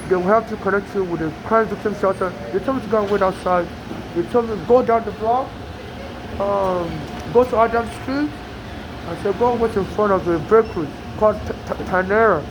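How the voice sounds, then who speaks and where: Woman, Nigerian-tinged American accent, mid-pitched, rambling grievance monologue, outdoor street noise. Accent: Nigerian-tinged American English. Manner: rambling, aggrieved, with frequent restarts. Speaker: immigrant telling her story. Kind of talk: personal monologue to camera.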